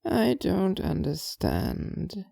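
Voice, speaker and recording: A woman voicing a frail, gentle dying old woman in an audio drama, speaking haltingly with weary resignation. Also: on the low side, wildly swooping pitch, vocal fry, husky, no echo, clean studio sound, exaggerated voice. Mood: resigned.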